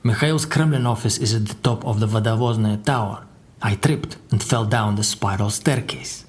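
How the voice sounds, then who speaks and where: An actor playing a crime boss's guarded secretary in an audio drama, telling his story in a dry, deliberate way. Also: on the low side, close to an everyday voice. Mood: dry, confiding. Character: formidable Russian crime boss's secretary, guarded male survivor. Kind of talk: audio drama dialogue and narration.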